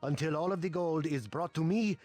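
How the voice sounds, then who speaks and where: A man's voice: a little high, fairly quick, natural voice. A man playing a bold, greedy Spanish conquistador in a video game, proclaiming pompously and theatrically. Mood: defiant, boastful. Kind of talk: theatrical scripted game dialogue. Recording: background music under the voice.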